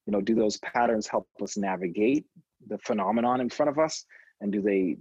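A man from Trinidad and Tobago with a low, husky voice answers interview questions thoughtfully. He pauses on ums and restarts his words as he thinks. The sound is dull, lo-fi and dry. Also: a little mellow, dry recording. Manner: reflective, frequent ums and repeated words. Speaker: guest, education innovation consultant. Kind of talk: conversational interview.

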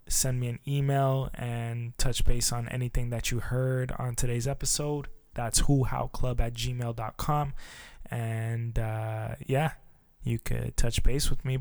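A man hosting his own podcast in a relaxed, casual chat, with lots of fillers and loose phrasing. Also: medium pitch, clear, resonant tone, no room sound. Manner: laid-back, filler-heavy, says "touch base" a lot. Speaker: podcast host.

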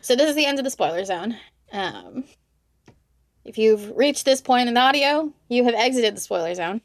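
A woman's voice: a little high, animated, moderate pace, no room sound. A woman interviewing a guest in casual, bantering chat as the podcast's host. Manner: playful, bantering.

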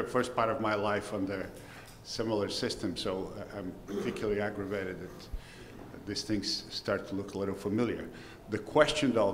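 A man from Bulgaria asks a rambling question from the audience and gropes for words along the way. His voice sounds roomy, with a long hall reverb and faint ambient noise around it. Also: fairly low pitch. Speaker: audience member asking a question. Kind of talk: question from the floor.

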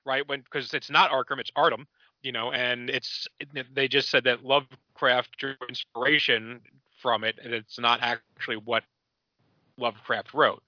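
A man's voice: medium pitch, steady tone, moderate pace, no room sound. Man chatting, muffled dry audio. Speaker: podcast panelist. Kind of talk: casual discussion.

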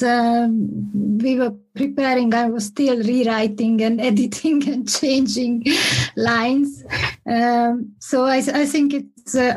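A woman's voice: fairly high pitch, a little mellow, rough recording. A woman poet who answers in a hesitant way and often repeats her words. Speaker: poet, panel guest. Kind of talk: casual chat.

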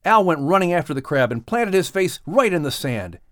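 A man reads a children's story with expressive, animated delivery. His voice is fairly high and moves widely in pitch, recorded close and dry. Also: fairly quick, no echo. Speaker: audiobook narrator. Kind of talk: scripted children's story narration.

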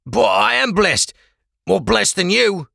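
A man voicing a frightened, pleading merchant locked in a cell, pushing his voice high and strained. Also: big pitch swings, moderate pace, vocal fry, sharp tone, no echo, clean studio sound, heavily acted. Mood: insistent.

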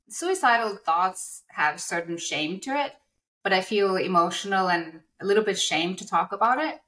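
A middle-aged woman with a medium-pitched voice speaks as a podcast co-host. English is her after a Nordic mother tongue. She shares personal experience openly, in a conversational and confiding manner. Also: pushed and bright, low-quality recording. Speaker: podcast co-host telling her own story.

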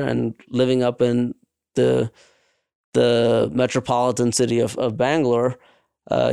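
A man's voice: mid-range voice, vocal fry, mellow, clear, resonant tone, no room sound, studio quality. A man from India who later lived in New York and grew up with South Indian languages, talking casually and explaining things in detail. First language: Malayalam and other South Indian languages. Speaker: guest. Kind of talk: casual conversational storytelling.

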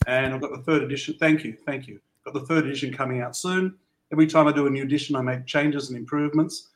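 A man's voice: mid-range voice, fairly even intonation, quick pace. A man from Australia, an upbeat author being interviewed as a podcast guest. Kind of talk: casual interview chat.

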